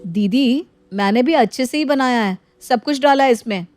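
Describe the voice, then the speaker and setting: A woman's voice: a little high, moderate pace, a little mellow, dry recording, close to an everyday voice. A woman voicing a young mother and younger sister in a comic story, coaxing and mildly defensive. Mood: puzzled, defensive.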